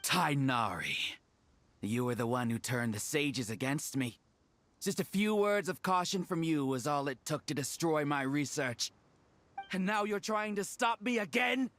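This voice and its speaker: A man's voice: fairly high pitch, animated, a little slow, fairly bright tone. A man voicing a fanatical, resentful researcher in a video game, theatrical and accusing. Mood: bitter raving. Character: fanatical, resentful male mad scientist.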